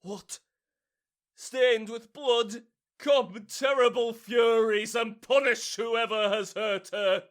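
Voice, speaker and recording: An actor playing a hammy amateur who declaims as a tragic lover, overwrought and melodramatic, in a comic audio drama. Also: male voice, very high voice, fairly even intonation, slow pace, a bit bright, heavily acted. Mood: anguished, melodramatic. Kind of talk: modernized Shakespeare audio drama comedy.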